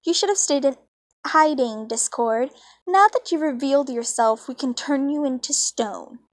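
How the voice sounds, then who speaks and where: A woman's voice: high voice, normal speed, dry recording, clean studio sound, lightly acted. A young woman voicing a stern, indignant pony princess in a cartoon fan story. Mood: defiant. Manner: indignant, commanding. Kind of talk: amateur read-aloud of cartoon fan fiction.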